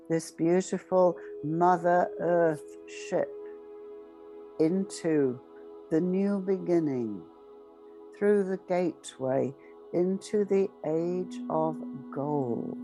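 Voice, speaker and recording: Woman, lower-pitched voice, extremely slow pace, solemn guided meditation, measured phrases, long pauses, clear music behind. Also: mellow, low-quality recording.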